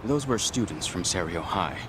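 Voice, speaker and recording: A man's voice: sits low, expressive, moderate pace, noisy background. An actor playing a polite, weary male restaurant owner in a video game cutscene. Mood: grateful.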